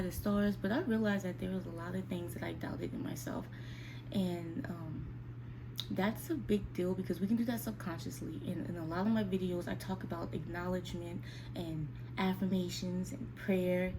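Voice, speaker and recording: Young woman vlogging over noticeable background noise. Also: fairly low pitch. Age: 29, almost 30. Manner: confiding, conversational, addresses listeners directly. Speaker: vlogger speaking to her viewers. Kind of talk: casual, candid sit-down chat.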